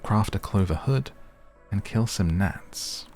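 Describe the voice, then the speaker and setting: A man giving relaxed ASMR gameplay commentary, musing gently and whimsically to the listener. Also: on the low side, slow pace, soft background music. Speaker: gaming video host.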